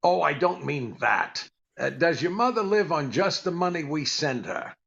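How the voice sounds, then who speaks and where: Gravelly, wry radio-comedy husband. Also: male voice, on the high side, normal speed. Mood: wry, puzzled. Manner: dry, hesitant, deadpan delivery. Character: wry, long-suffering comedian husband, patient straight man. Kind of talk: 1940s radio comedy acting. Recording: dull lo-fi audio.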